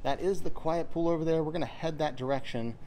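A man narrating a walking tour of a resort in a steady, informative guide manner, filmed on a handheld camera as he walks. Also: fairly high pitch, quick pace, a little hiss or hum. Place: outdoors and inside a resort, walking.

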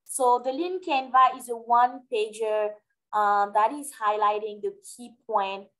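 A woman's voice: a little high, measured pace, fairly bright tone, low-quality recording. French-accented woman presenting a webinar. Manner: explanatory, hesitant fillers, friendly. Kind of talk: live webinar Q&A. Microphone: webcam on video call.

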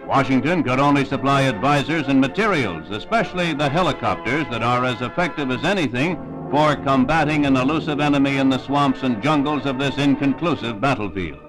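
A man's voice: mid-range voice, brisk, rough recording. An American man reading scripted 1960s newsreel narration in a formal, emphatic manner. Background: music.

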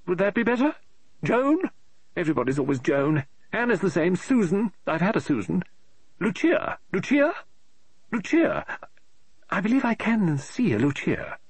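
A man's voice: high voice, husky, no room sound, voice pushed up, exaggerated voice. A man voicing a frantic, scatterbrained woman novelist. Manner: fretful, exclaiming, muttering to herself. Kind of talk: audiobook character voice.

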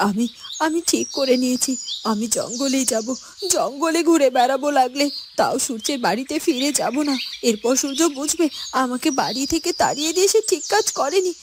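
A woman's voice: high voice, lively intonation, fairly quick, noisy background. A woman voicing an indignant, hurt young heroine in a fairy-tale cartoon, speaking emotionally and repeating her phrases. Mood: hurt, defiant. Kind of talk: dramatic cartoon dubbing.

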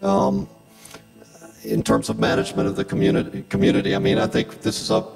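A man's voice: a bass voice, wildly swooping pitch, brisk, creaky, big-room sound, over loud music, low-quality recording. A man asking unscripted interview questions in hesitant, searching phrases, through a vocoder effect. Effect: real-time vocoder processing. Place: lecture room with blackboard.

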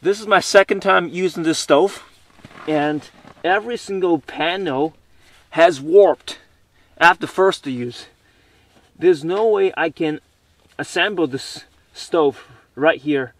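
A man vlogging casually outdoors, talking to a camera a few steps away in a halting, repetitive way. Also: fairly high pitch, dry recording. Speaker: camping vlogger. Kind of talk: casual talk to camera while working. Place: outdoors in snow by a tent. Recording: noisy outdoor recording, clicks and handling sounds.

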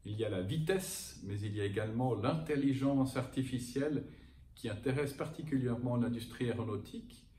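Middle-aged guy hosting the news, warm tone, echoey room, mic kinda far. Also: medium pitch, fairly quick. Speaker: host. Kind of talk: news presenter intro. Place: indoors by a window over an airport. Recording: roomy, from a distance, faint noise.

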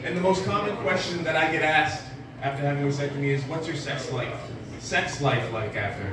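A man in his forties doing a stand-up set at an open mic, delivering deadpan one-liners with lots of filler pauses. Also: fairly high pitch, noisy background. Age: 40s. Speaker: stand-up comedian. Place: small dim bar stage with a live audience. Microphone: handheld mic, filmed from far back in the room. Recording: echoey bar room heard from far off. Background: audience laughter and bar crowd.

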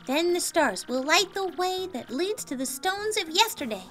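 A woman's voice: extremely high pitch, sing-song, normal speed, fairly bright tone, faint music behind, heavily acted. A woman voicing a perky, brainy, sassy young female game creature, with emphatic valley-girl phrasing. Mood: eager.

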